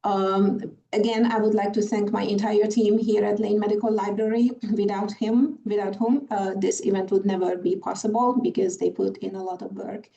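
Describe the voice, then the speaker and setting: A woman's voice: mid-range voice, little pitch movement, moderate pace, creaky, few pauses, warm tone, recorded from a distance, highs cut off. A Hungarian woman with a Hungarian accent moderating a virtual conference, apologetic and hesitant, with many fillers. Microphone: video call.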